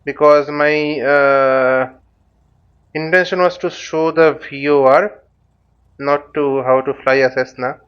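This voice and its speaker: A slightly dull, lo-fi recording of a man with a fairly high voice. He gives a casual walkthrough as a tutorial host, thinking aloud in short, halting phrases. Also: steady tone, clean tone. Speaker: host of a flight simulator tutorial. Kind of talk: casual spoken walkthrough while flying a simulator. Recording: dull, lo-fi microphone sound.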